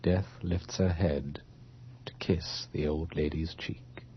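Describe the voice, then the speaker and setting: An actor playing a grave, solemn storyteller, narrating a supernatural radio drama in hushed, lingering phrases. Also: male voice, rumbling low, steady tone, slow, slight background noise. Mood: tender, hushed. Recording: dull old radio drama with music.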